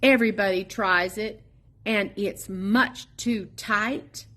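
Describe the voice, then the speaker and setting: A woman reading a children's picture book aloud expressively, in a sing-song, drawn-out way with playful emphasis on sound words. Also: mid-range voice, a bit bright. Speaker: storybook reader at a public library.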